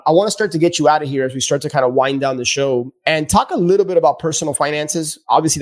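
A man with a Cuban accent hosting a casual podcast interview, affirming his guest often and calling him "man.". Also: on the high side. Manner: enthusiastic, affirming with "man" and "yeah". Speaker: podcast host.

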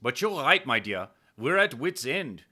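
A man voicing a lovesick senior space officer who gives in reluctantly, tender and pleading in an audiobook, with halting repetitions and courtly endearments. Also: medium pitch, very animated, sharp tone, pitched above the performer's own voice, full character voice. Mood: pleading, defeated.

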